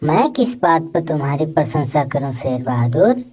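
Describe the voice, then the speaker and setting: A woman voicing a clever, fearless male monkey who reasons with self-assurance in an animated moral story. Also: low voice, normal speed, slightly rough, full character voice. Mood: defiant, confident.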